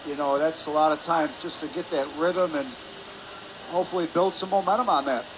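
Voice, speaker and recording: A man's voice: fairly high pitch, steady tone, fairly quick. A man giving conversational color commentary at a live hockey game. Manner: conversational analysis with fillers. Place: indoor ice rink. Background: loud arena ambience noise.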